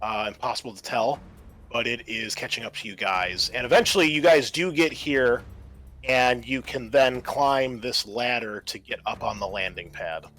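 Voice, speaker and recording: Male game master, bright forceful voice, halting explanatory delivery, faint music behind. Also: mid-range voice. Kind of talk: narrating a tabletop roleplaying game.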